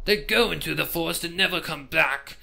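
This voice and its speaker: A man voicing a wary, stern religious pony in a raspy voice, telling dark lore in a grave, theatrical way for a story reading. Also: on the high side, fairly quick, voice pushed up, full character voice. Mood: ominous.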